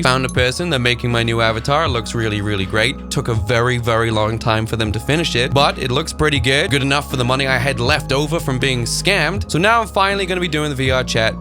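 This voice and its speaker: A man rants casually from his desk, blunt and sarcastic, his voice sitting at an ordinary middle pitch. Clear music plays steadily underneath him. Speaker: YouTube reaction host. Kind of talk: casual ranting commentary.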